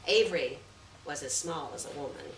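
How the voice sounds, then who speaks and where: A woman reading a literary short story aloud at a live event, with measured, deliberate phrasing and stressed words. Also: sits low, lively intonation, creaky. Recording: distant roomy live recording, faint noise.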